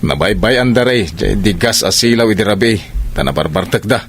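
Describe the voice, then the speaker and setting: A man's voice: on the low side, lively intonation, normal speed, fairly bright tone, slight background noise. A man with an Ilocano accent playing a sensible, exasperated farmer brother, complaining and moralizing in a radio comedy drama.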